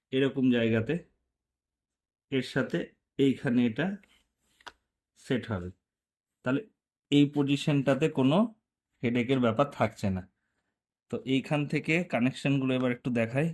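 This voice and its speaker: Guy from india doing a casual moto vlog how-to, explains stuff step by step, mid pitched voice. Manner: informal, step-by-step explaining to viewers. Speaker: motorcycle vlogger. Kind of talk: casual hands-on tutorial narration.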